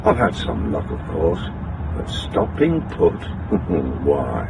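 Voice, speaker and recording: A man's voice: a bass voice, wildly swooping pitch, takes it slowly, noisy background, heavily acted. An actor playing a flash, breezy British businessman back in town, in a radio drama with a slightly dull recording. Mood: smug. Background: music.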